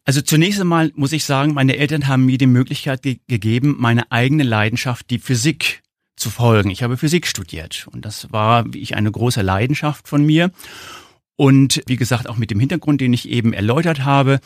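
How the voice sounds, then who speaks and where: Male interview guest, 50s, North German accent, mid-range voice, measured and explanatory, close dry sound. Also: medium pitch, no echo. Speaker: interview guest, foundation founder. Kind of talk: conversational interview answers.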